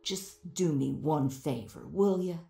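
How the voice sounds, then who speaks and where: A woman's voice: low-pitched, slow pace, gravelly, dark tone, soft background music, full character voice. A woman playing a tough, blunt older widow in a video game, with a wry, folksy way of talking. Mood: fond, challenging. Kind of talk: video game character dialogue. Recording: roomy echo.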